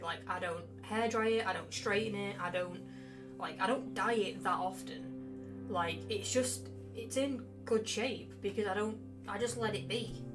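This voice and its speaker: A young woman streaming casually, rambling and sweary with a self-mocking streak. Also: medium pitch. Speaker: game streamer. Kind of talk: casual chatty livestream. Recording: loud background music. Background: game music with guitar.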